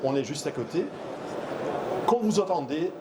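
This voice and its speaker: A middle-aged man from Belgium, not a native French speaker, giving a halting trade show talk full of fillers and restarts. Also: fairly high pitch, expressive. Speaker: conference speaker. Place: trade fair hall. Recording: noisy trade fair hall.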